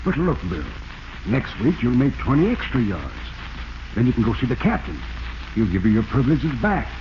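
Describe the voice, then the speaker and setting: An actor playing a sly, falsely chummy prison inmate with coaxing, slangy talk in a 1940s radio drama, on a dull, noisy recording. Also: male voice, mid-range voice, big pitch swings, moderate pace, natural voice. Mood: confident, coaxing.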